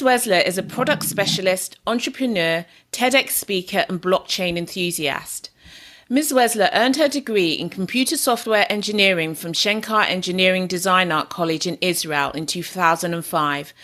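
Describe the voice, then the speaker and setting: Woman host, scripted podcast intro, formal read, slightly low voice. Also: normal speed, fairly bright tone. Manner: formal, reads a prepared script.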